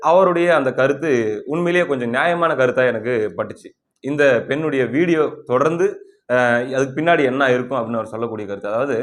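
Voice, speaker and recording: A man with a Tamil Nadu accent giving conversational political commentary, explaining things and posing rhetorical questions that he answers himself. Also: mid-range voice.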